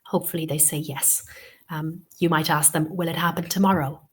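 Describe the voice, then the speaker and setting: A woman's voice: sits low, fairly even intonation, a little fast. A woman tutor with a cold and a sniffly voice gives a webinar lesson. She talks patiently, repeats her key points and often says "okay" and "um". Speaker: TEFL course tutor. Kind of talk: webinar instruction.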